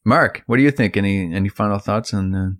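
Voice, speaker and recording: Lowish-voiced male podcast host. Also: a little fast, fairly bright tone, no room sound. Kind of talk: casual chat, steering the conversation.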